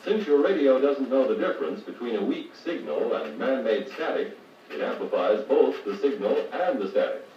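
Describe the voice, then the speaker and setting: An American actor playing a patient car radio service instructor, explaining methodically in a 1950s training filmstrip style. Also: male voice, mid-range voice, very animated, normal speed, natural voice. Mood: patient, instructive. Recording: muffled, noisy, echoey 1950 record playback, distant mic.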